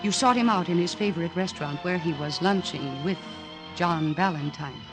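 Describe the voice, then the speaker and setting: An actress playing a composed, determined young woman psychiatrist in an old-time radio drama, speaking in a measured, articulate, earnest way. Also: fairly low pitch, close to an everyday voice, low-quality recording. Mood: urgent, accusing. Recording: restored vintage radio, orchestral music behind.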